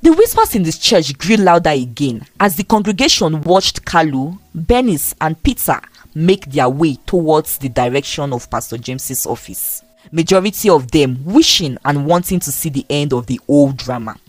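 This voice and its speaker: A woman storyteller with a low voice and lively, swinging intonation. She narrates a dramatic scripted tale, recorded close and dry. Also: moderate pace, dry recording.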